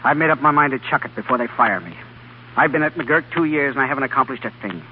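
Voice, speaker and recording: Man acting a fast-talking, driven young scientist, vintage radio. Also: mid-range voice, animated, lightly acted. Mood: dejected. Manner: distracted, halting, intense. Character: obsessed, driven young research scientist and neglectful husband. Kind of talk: old-time American radio drama acting. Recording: dull, noisy vintage radio recording.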